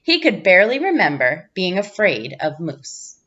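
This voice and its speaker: A woman reading a children's storybook aloud in an expressive narrating style. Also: lowish voice, normal speed, highs cut off. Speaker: storybook reader, teacher.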